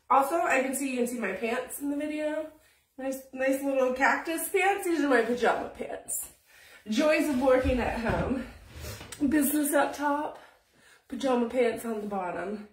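A woman's voice: sits high, lively intonation, measured pace, pauses often, distant mic, slight background noise. A middle-aged woman hosting a casual live painting tutorial from her small home craft room, chatty and rambling, laughing easily and a bit sniffly, with the phone camera often out of her reach. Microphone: phone camera above the table, often out of reach. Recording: echoey room, voice off-mic.

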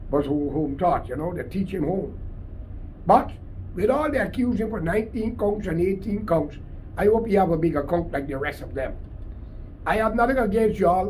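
A man's voice: sits high, a little mellow, rough recording. An older man in his sixties with a Guyanese accent, giving a combative, emphatic unscripted political monologue to camera. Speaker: political commentator. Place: small cluttered room indoors. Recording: clear background room noise.

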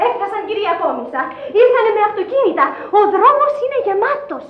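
An actress in a Greek radio play, playing an excitable female servant with an urgent delivery. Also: very high-pitched, loud music behind the voice. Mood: urgent, excited. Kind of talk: Greek radio theatre acting. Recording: muffled, echoey old radio recording, loud crowd.

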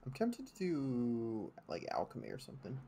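A man's voice: mid-range voice, wildly swooping pitch, moderate pace, gravelly, dark tone, breathy edge. A young man streaming a game from his home room, chatting casually with plenty of fillers and restarts. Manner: rambling, many fillers and restarts. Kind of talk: casual chat while gaming.

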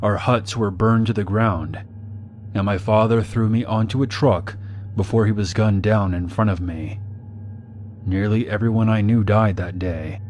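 Low-voiced man from Somalia, somber. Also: a little slow, darkish tone, slight background noise. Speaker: narrator telling his own story. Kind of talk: scripted first-person narration.

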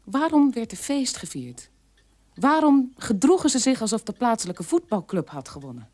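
An actress playing a bitter adult daughter of a war criminal, recounting her childhood in an emphatic, halting radio play monologue. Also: on the high side, very animated, darkish tone. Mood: disgusted, pained.

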